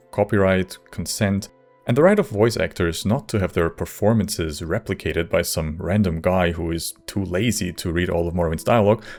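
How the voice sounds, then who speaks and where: A man reading scripted video essay narration in a measured, wry manner. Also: deep voice, a little mellow.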